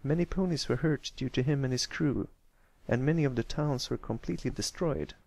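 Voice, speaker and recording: Man as a dramatic, creaky-voiced pony storyteller. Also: mid-range voice, a little fast, a little mellow, no room sound, close to an everyday voice. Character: pony storyteller spinning a grand pirate yarn.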